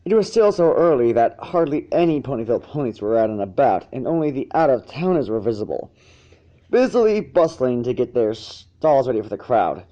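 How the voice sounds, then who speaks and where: A man's voice: medium pitch, warm tone, highs cut off. A man narrating fan fiction as an amateur reader, stumbling and rereading phrases now and then.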